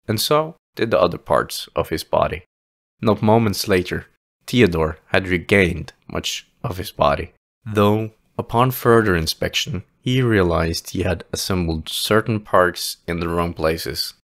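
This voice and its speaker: A man's voice: sits low, a bit bright. A man narrating a scripted fantasy audio play as a dramatic storyteller. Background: music.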